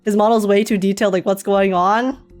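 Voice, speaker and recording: A woman's voice: medium pitch, steady tone, fairly quick, darkish tone, faint music behind. A young woman giving casual, teasing, slangy live reactions as a game streamer. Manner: playful, teasing, giggly, slangy.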